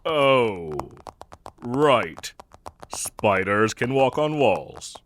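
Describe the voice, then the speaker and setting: A man's voice: medium pitch, very animated, very slow, a bit bright, a little hiss or hum, exaggerated voice. A man playing a gallant, theatrical knight with a playful streak and formal, archaic phrasing in a fantasy audio roleplay. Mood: warm, relieved.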